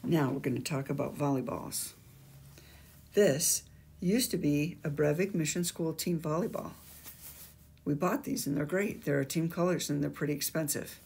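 A woman's voice: deep voice, moderate pace, creaky, darkish tone, breathy edge. An older woman on the school staff explaining gym rules to students in an emphatic, sing-song teacherly way, recorded on a webcam in a small office. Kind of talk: instructional school video. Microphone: webcam at desk.

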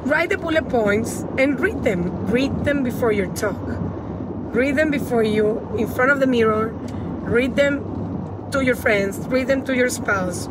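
A woman in her thirties with a Spanish accent gives casual advice to the camera as a coach, repeating phrases for emphasis. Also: on the high side. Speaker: women's empowerment coach giving tips. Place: inside a car. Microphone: phone at arm's length. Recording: loud car and road noise.

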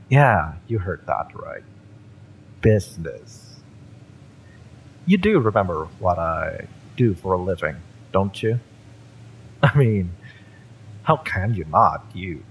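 A man voicing a cocky, fiery mercenary in an audio roleplay, with a smirking, taunting, drawn-out delivery. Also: low-pitched, creaky, a little hiss or hum, heavily acted, poor audio. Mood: teasing, smug. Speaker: voice actor in romance audio roleplay. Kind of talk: acted audio roleplay monologue.

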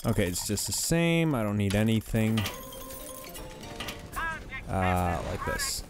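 A hoarse man giving casual let's-play commentary, thinking aloud as he plays. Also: fairly low pitch, very animated, music in the background. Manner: slow, rambling, thinking aloud.

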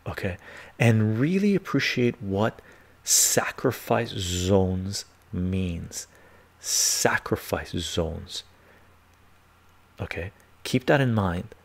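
An older man hosts a live stream from his book-lined home study on a lapel mic. His gravelly voice sits on the low side, and he talks emphatically, repeats himself and keeps asking "right?", sometimes sinking to a soft whisper. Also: expressive, slow. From born in Iran, raised in western Canada. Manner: emphatic, repetitive, asks "right?" often, some soft whispering. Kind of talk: casual live stream talk reading chat.

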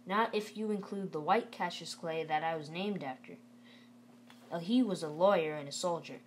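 A woman's voice: on the low side, normal speed, a little hiss or hum, lightly acted, rough recording. A woman reading aloud the part of an eager, cocky young boy who questions his father. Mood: defiant.

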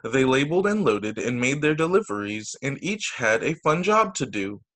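A man, a teacher, reading children's stories aloud over a video call in an expressive, sing-song storytelling way. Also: mid-range voice, normal speed. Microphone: video call microphone.

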